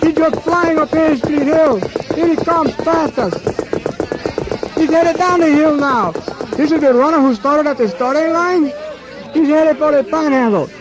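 An actor playing a chatty, amazed field reporter covering a race in a radio drama. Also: male voice, very high-pitched, unhurried, poor audio.

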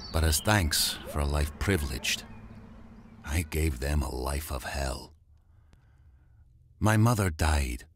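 A man's voice: extremely low pitch, husky, exaggerated voice. An actor playing a tormented male mad scientist, delivering a solemn, theatrical rhyming monologue in a gothic horror audio drama. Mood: brooding, bitter. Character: tormented, brooding male mad scientist confessing his blasphemy.

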